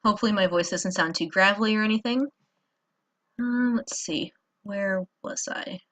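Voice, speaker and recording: A woman's voice: mid-range voice, normal speed, a bit bright. A tired woman hosting a casual drawing tutorial, rambling with many fillers and apologizing as she works. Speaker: art tutorial host.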